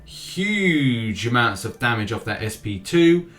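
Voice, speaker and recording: A man's voice: medium pitch, very animated, slow, a bit bright. A man hosting a gaming news channel, giving casual, informal and enthusiastic commentary on game updates.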